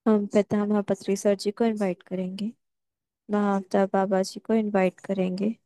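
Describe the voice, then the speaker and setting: A woman guides a meditation in short, measured phrases. Her voice is mellow and stays very flat in pitch. The sound is dry, with no room around it. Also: no room sound.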